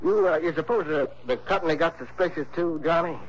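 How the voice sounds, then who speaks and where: Man playing a folksy, high-pitched old-radio character. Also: fairly quick, close to an everyday voice. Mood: confiding. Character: folksy, crotchety Western small-town insurance man. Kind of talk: early 1960s radio drama acting. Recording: muffled, noisy old radio broadcast.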